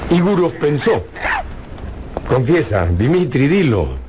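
A man's voice: medium pitch, very animated, slow pace, noisy background. An actor playing a gossipy friend who teases and coaxes as he tells his pal's story in a radio drama. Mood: teasing, storytelling. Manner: teasing, coaxing, chatty. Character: teasing male friend, gossipy storyteller egging his pal on.

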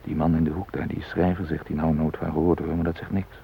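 An actor playing a self-possessed man posing as a doctor among hostages, musing in measured, clipped phrases in a radio drama. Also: rumbling low, little pitch movement, creaky. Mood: musing, wry. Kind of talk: radio drama interior monologue. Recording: muffled phone-like old radio recording, hiss.

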